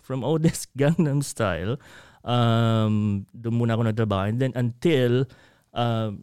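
A man's voice: medium pitch, unhurried, a little mellow, clean tone, no room sound, studio quality. A man from the Philippines with a Filipino accent, guest in a casual interview, telling a story in Tagalog mixed with English and acting out quoted voices. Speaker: guest, media company founder.

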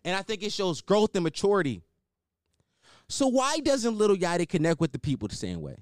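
A young man hosting a solo podcast, blunt and opinionated, swearing and riffing off the cuff, with a higher-pitched voice. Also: very animated, brisk, dry recording, clean studio sound. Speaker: podcast host.

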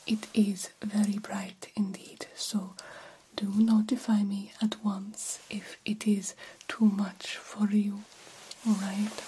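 A woman playing a formal, caring vampire doctor in an ASMR roleplay, whispering softly and reassuringly. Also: medium pitch, close to an everyday voice, low-quality recording. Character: formal, caring vampire doctor examining a young bat fledgling.

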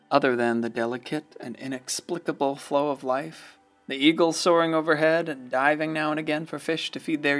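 Folksy gravelly male small-town storyteller. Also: fairly high pitch, fairly bright tone. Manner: unhurried, wistful storytelling. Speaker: narrator. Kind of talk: folksy radio play narration.

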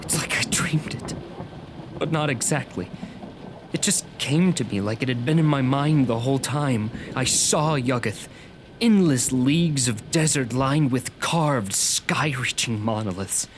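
A man's voice: mid-range voice, expressive, a little slow, slight background noise, lightly acted. An actor in a radio drama playing a troubled, brooding man haunted by visions of cosmic horror. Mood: haunted, awed.

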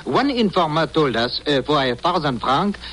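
An actor playing a formal, fussy French police captain with a French accent, courteous and hesitant, in 1950s radio drama style. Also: male voice, a little high, sing-song, normal speed, a bit bright. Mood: apologetic. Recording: slightly noisy old 1950s radio recording.

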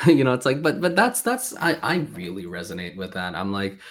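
A man's voice: fairly low pitch, very animated, normal speed, fairly bright tone. A man from Sweden hosting a casual podcast chat, friendly and rambling, full of filler phrases.

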